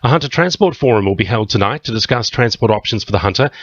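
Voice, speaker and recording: A man reads the morning news bulletin in a measured, even way. His voice sits on the low side, and the sound is dry and close. The studio recording is a little dull and muffled. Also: no room sound. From Australia. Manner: measured, even newsreader delivery. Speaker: newsreader. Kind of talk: scripted news reading. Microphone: studio microphone. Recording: slightly muffled community radio studio.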